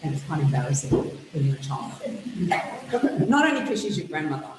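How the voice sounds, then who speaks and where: A woman's voice: low-pitched, very animated, a little fast, reverberant, noisy background. A woman author, whose was Greek, thoughtfully recalling her childhood in an interview. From Australia. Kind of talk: reflective interview answers.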